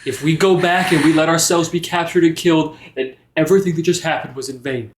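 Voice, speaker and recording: A man playing a remorseful but determined bard adventurer, earnest and halting, in a roomy recording. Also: on the high side, steady tone, voice pushed up, close to an everyday voice. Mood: earnest, resolved.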